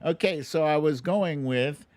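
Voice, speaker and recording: A man's voice: on the high side, measured pace, darkish tone. A man hosting a casual livestream guessing game, chatting playfully and informally straight to his viewers. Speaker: livestream host running a song-mashup guessing game.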